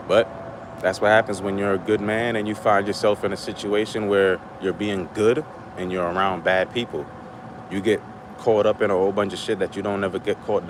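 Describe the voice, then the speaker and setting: A man's voice: sits low, background noise. A middle-aged man from Trinidad with a Trinidadian accent, rambling bluntly and swearing freely on a casual livestream. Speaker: livestream host.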